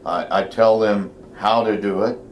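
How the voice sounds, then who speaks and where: A man with a loud voice speaking bluntly and emphatically as an interview guest, repeating himself for emphasis. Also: on the low side, fairly even intonation, measured pace, a little hiss or hum. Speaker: guest, investment newsletter writer. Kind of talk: conversational interview about investing.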